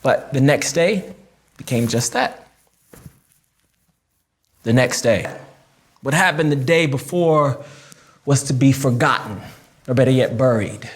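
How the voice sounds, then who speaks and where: An actor playing a wounded, reflective man recounting a painful childhood memory in a theatrical memoir monologue, with deliberate pauses. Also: medium pitch, close to an everyday voice. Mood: reflective, sombre. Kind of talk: filmed dramatic monologue reading, first-person memoir.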